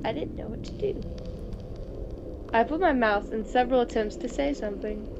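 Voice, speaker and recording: Woman, playful gaming host reading game text and chatting, high voice, slightly noisy dull recording. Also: normal speed. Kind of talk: reading visual novel narration aloud with casual chat. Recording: muffled, noisy home microphone.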